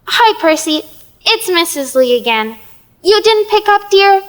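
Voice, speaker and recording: A woman's voice: very high voice, sing-song, measured pace, a bit bright, heavily acted. A woman playing a fretful, theatrical older lady who scolds and implores in an audio play. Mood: scolding, worried. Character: fretful, melodramatic older Mrs. Lee, pleading with gods.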